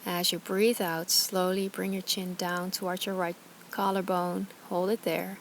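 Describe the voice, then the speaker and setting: Calm woman guiding yoga, background noise. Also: fairly low pitch, unhurried. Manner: calm, gentle, soothing guidance with short phrases. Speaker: yoga and meditation teacher. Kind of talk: guided yoga instruction.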